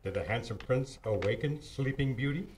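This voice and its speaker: An actor playing a teasing, cocksure police homicide detective in an old-time radio mystery, with smug, clipped delivery. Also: male voice, mid-range voice, lively intonation, moderate pace, darkish tone, slightly rough. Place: theatre stage, live performance. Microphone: stand mic on stage.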